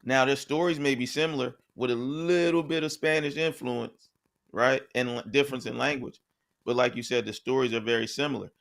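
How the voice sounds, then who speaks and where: A man's voice: mid-range voice, fairly even intonation, a little fast, creaky, fairly bright tone. A man co-hosting a podcast, talking in a casual, conversational way and often checking in with "right?". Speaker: podcast co-host. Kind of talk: casual discussion.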